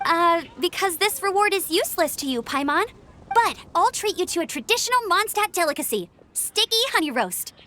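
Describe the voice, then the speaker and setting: A woman's voice: very high-pitched, sing-song, fairly quick, sharp tone, a little hiss or hum, full character voice. A woman voicing an upbeat, dutiful young female knight in a video game, speaking in an earnest, eager and slightly formal way. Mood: eager, friendly. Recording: music behind the voice.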